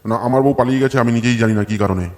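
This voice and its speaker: Fast-talking, stubborn jilted husband acted by a man. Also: mid-range voice, a little mellow, lightly acted. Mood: complaining. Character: stubborn, aggrieved young village husband abandoned by wife. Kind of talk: comedy cartoon voice acting.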